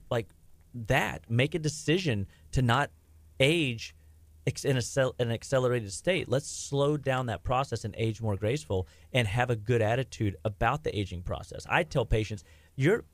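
A man's voice: mid-range voice, moderate pace, no room sound. A middle-aged man, a health practitioner, talking in a casual rambling way with lots of restarts and fillers. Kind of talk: casual podcast chat.

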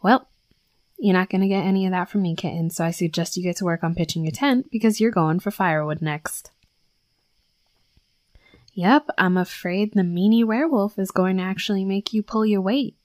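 A woman's voice: mid-range voice, normal speed, darkish tone, no room sound, close to an everyday voice. A young woman playing a brash, sweary tsundere werewolf bully who scolds with grudging protectiveness, in a scripted audio roleplay. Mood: irritated, mocking. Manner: scolding, sarcastic, sweary, exasperated. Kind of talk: scripted first-person roleplay audio acting.

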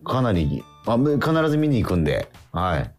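A male radio host with a very low voice that moves around a lot in pitch. He talks with a guest in a polite, familiar way and handles the show announcements, with faint music behind him. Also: wildly swooping pitch, normal speed, darkish tone. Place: radio studio.

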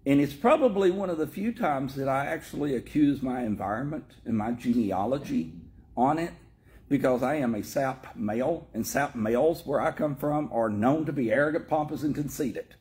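A man's voice: medium pitch, animated, moderate pace, darkish tone. A middle-aged man from Tennessee with a Tennessee accent, a pastor chatting about scripture in a joking, self-mocking way. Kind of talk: casual chat on scripture.

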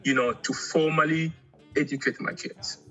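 A middle-aged man from Angola, raised in Congo, chatting casually as an artist guest in an interview, halting and often repeating words. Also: fairly high pitch, steady tone, a bit bright, highs cut off, soft background music.

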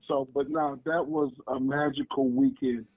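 A man, co-host of a casual chat show, talking with a voice gone hoarse, telling stories emphatically. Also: mid-range voice, flat delivery, normal speed, no echo. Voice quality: gravelly. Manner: storytelling, emphatic. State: losing his voice. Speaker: podcast co-host. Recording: tinny phone-like line, dry.

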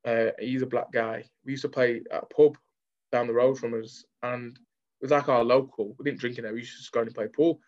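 A man's voice: medium pitch, steady tone, brisk, darkish tone, lo-fi sound. A young man from Manchester with a Mancunian accent, talking candidly as an interview guest. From Manchester, England.

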